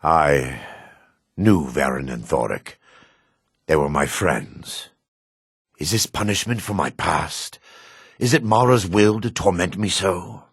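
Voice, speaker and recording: An actor playing an older dark elf priest in a video game, grave, devout and weary, with a gravelly, husky voice and solemn, formal phrasing. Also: male voice, low-pitched, animated, takes it slowly, pauses often, no room sound, studio quality, full character voice. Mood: sorrowful. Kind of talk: video game character acting.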